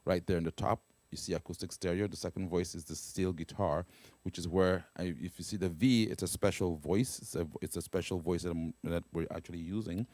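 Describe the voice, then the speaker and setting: A man's voice: low voice, steady tone, darkish tone, dry recording. A man casually demonstrating a keyboard's sounds, explaining step by step and often checking in with "right?" and "okay?". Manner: halting, with fillers and restarts. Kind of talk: casual instrument demo. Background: keyboard pads and guitar playing.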